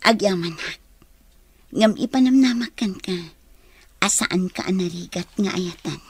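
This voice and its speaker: A hesitant sweetheart with an Ilocano accent, gently reserved. Her voice is breathy and medium in pitch, in romantic radio drama style. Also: unhurried, dry recording. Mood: hesitant, tender.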